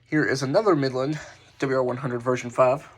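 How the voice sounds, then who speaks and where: A man presenting his hobby collection in a casual, unscripted way, halting and often correcting himself as he goes. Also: medium pitch, fairly even intonation, background noise. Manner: halting, offhand, self-correcting. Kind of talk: casual unscripted show-and-tell.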